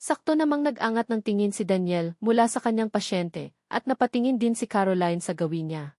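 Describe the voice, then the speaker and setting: A woman narrating a scripted love story in a sentimental, measured way with dramatic pauses, switching between Tagalog and English. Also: mid-range voice, expressive, warm tone, dry recording, studio quality.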